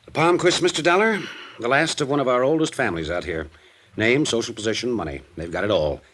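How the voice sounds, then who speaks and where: A man playing a smooth, playful insurance broker in a 1950s radio drama, talking in an offhand, urbane way. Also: medium pitch, animated, quick pace, fairly bright tone. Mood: businesslike. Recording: old radio recording, faint noise.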